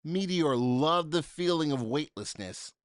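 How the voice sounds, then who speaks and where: A man reading a children's story aloud in an expressive, dramatic way, with deliberate emphasis for young listeners. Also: a little high, smooth, no echo, studio quality. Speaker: storybook reader.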